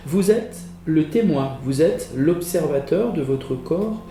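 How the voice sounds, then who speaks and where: A man leading a guided relaxation as a yoga teacher, giving soothing, measured instructions with pauses. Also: a little high, lively intonation, mellow, a little hiss or hum. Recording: roomy echo with music behind. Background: relaxation music.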